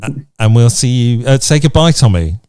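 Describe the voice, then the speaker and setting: A man's voice: medium pitch, lively intonation, fairly quick, dark tone, dry recording, studio quality. A man hosting a podcast, chatting casually in a reflective, encouraging way. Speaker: podcast host. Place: remote video chat recording.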